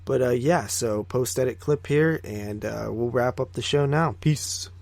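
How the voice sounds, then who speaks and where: A man co-hosts a podcast in a medium-pitched voice. He talks casually and loosely, swears freely and likes to tease. His opinions come out in sweeping exaggerations. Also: a little slow, creaky, a little mellow, no room sound. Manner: profane, teasing. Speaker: podcast co-host.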